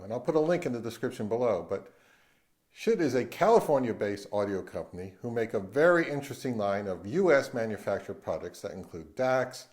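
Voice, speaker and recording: A man's voice: medium pitch, a little mellow. Male reviewer and host, mid-range voice, delivering scripted narration for a gear channel.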